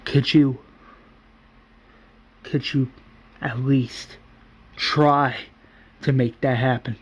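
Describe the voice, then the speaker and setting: A man voicing a grieving young mother in a zombie apocalypse town, halting and imploring as he repeats himself. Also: mid-range voice, fairly even intonation, husky. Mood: pleading, tearful.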